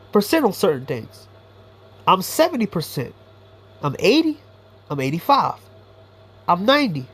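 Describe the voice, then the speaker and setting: A man giving a blunt, profane, rambling commentary monologue as a YouTuber. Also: sits high.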